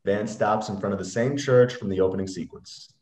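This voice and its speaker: A man's voice: lowish voice, brisk, darkish tone. A young man reading screenplay stage directions aloud as narrator on a video call. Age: young adult. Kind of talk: dramatic screenplay read-aloud. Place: room at home, on a video call. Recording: roomy, echoey video-call audio.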